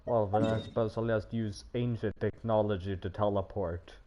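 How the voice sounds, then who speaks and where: A man's voice: fairly low pitch, steady tone, normal speed, dark tone, dull audio. A man livestreaming a game with casual commentary and wry asides to himself. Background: game music.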